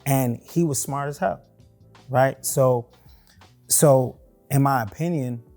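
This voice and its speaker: A man's voice: medium pitch, slow pace, darkish tone, soft background music. A young man from Brooklyn with a heavy New York accent, talking casually as a panelist and often checking in with "right?". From Canarsie, Brooklyn, New York. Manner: conversational, frequent "right?" check-ins. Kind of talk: casual discussion.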